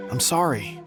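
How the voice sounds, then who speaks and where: A man playing a self-doubting, apologetic frog singer in a video game, hesitant and glum. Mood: dejected. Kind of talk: video game cutscene acting. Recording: music bed behind voice.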